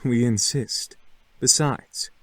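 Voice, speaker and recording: A man's voice: medium pitch, takes it slowly, fairly bright tone, dry recording. An actor playing a stern but courteous young male incubus in a visual novel game, speaking in a polite, formal, commanding way.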